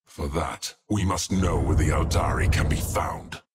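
An actor playing an imperious, ruthless warlord in a game cutscene, with haughty, weighty, theatrical delivery. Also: male voice, rumbling low, unhurried. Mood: cold, curt. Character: imperious, ruthless male Chaos warlord commanding a war fleet. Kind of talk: dramatic game cutscene acting. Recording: voice under very loud music. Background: loud music.

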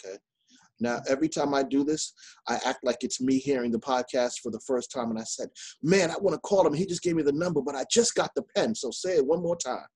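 A man's voice: mid-range voice, quick pace, a little mellow, husky, low-quality recording. A man from New York City hosting a business podcast over a video call, upbeat and chatty. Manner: upbeat, laughs often, chatty. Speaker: podcast host. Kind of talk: casual interview. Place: indoor office.

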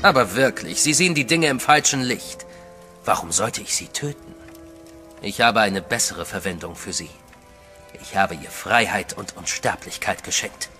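An actor playing a menacing gunman in league with the undead, speaking with smooth, mocking menace. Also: lowish voice, expressive, normal speed, sharp tone, faint music behind. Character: menacing gunman serving the undead, male adult. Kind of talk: radio drama acting.

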